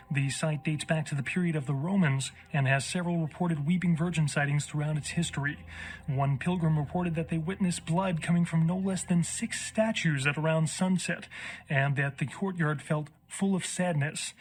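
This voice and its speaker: Casting: actor as TV news anchor, a touch high, earnest, measured formal reporting. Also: male voice, natural voice, poor audio. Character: earnest male news anchor reading odd stories. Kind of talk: scripted news bulletin.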